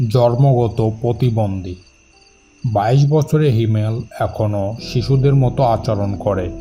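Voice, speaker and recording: A man's voice: medium pitch, warm tone. A man reading scripted story narration as the narrator.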